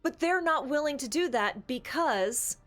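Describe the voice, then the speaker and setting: A woman's voice: high-pitched, animated, a little slow, fairly bright tone, soft background music. A woman co-hosting a tabletop gaming discussion show, casual and opinionated, with an emphatic, sarcastic, theatrical way of talking.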